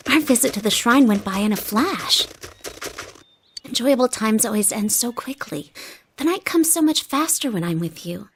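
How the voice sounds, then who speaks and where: An actress playing a shy, polite young woman in anime game dialogue, soft-spoken and demure. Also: on the high side, animated, normal speed, a little hiss or hum. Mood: happy, tender. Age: young adult.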